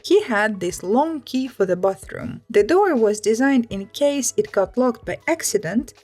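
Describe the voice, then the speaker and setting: A woman's voice: on the high side, darkish tone. A woman narrating a scripted first-person story, reading it aloud.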